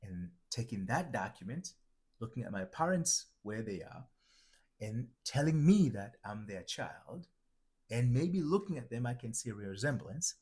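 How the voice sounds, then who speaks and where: A man's voice: mid-range voice, sing-song, a little slow, darkish tone, slightly rough. A man from Tanzania, an identity transformation coach, giving a reflective motivational talk that circles back and restates its points. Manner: reflective, repeats and restarts phrases while building a point. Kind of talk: motivational teaching monologue.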